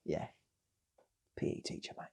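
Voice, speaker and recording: A man playing a blunt, laconic, slow-talking PE teacher in a comic story, deadpan and matey. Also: close to an everyday voice.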